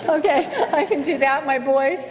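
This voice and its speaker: Quiet, earnest woman preacher, slightly high voice, on a stage mic in a reverberant church. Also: normal speed, crowd noise. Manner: earnest, repeats "Father" in prayer, self-correcting. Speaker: guest speaker. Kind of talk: sermon with prayer. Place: church sanctuary. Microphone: stage microphone.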